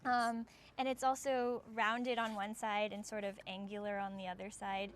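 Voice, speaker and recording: A woman's voice: medium pitch, level tone, normal speed. A young American woman explaining something casually, with an informal way of talking full of "like" and "sort of". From United States. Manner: informal, frequent 'like' and 'sort of'. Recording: clear background noise, ambient sound.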